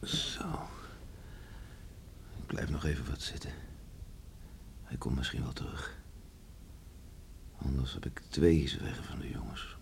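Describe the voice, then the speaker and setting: An actor in a Dutch radio play, playing a stern, stubborn middle-aged farmer father troubled by remorse, brooding and halting. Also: rumbling low, big pitch swings, gravelly, in a whisper, heavily acted. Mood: desolate, muttering. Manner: brooding, halting, muttering to himself. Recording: faint background noise on radio recording.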